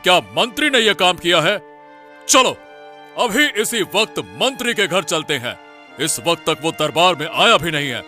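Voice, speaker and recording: A male actor plays a commanding cartoon king. His voice is slightly high and bright, full of firm questions, with faint music behind it. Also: big pitch swings, normal speed, exaggerated voice. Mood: demanding. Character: authoritative king, questioning and concerned. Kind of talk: animated Hindi cartoon story acting. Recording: music under the voice.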